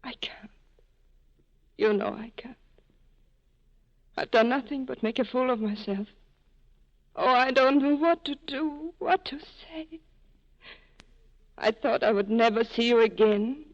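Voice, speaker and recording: A woman's voice: a little high, takes it slowly, pauses often, highs cut off. An actress playing a torn, desperate woman pleading with a former lover, in earnest 1940s radio drama style on an old broadcast recording. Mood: anguished.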